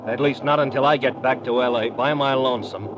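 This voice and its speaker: A man's voice: fairly even intonation, fairly quick, dull audio, close to an everyday voice. An actor playing a menacing, tough gunman protective of his brother in an old radio crime drama, with hard, slangy, threatening talk. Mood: cold, insistent. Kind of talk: 1940s-50s radio crime drama acting. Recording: noisy old radio recording, train rumble behind.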